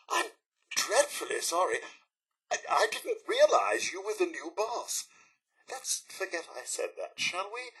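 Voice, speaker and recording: A man playing a fussy, posh and polite robot butler in a video game, with a British accent, raspy voice and formal, florid delivery. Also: fairly high pitch, pushed and bright, heavily acted, low-quality recording. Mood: sheepish, apologetic. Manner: formal, florid, servile diction with dry wit. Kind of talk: theatrical video game character acting.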